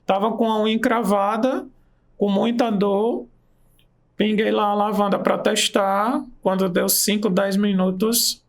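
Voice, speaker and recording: A man's voice: high-pitched, flat delivery, a little slow, vocal fry. A man, an aromatherapy practitioner, telling his story with enthusiasm in a casual interview. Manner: enthusiastic storytelling, pace shifts. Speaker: aromatherapist and nurse, guest.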